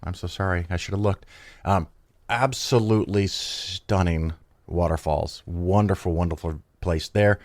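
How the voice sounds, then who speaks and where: Low-voiced man hosting with a cold. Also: fairly even intonation, measured pace, darkish tone, dry recording. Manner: rambling, self-correcting, chatty. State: has a cold. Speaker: podcast host. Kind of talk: casual solo talk.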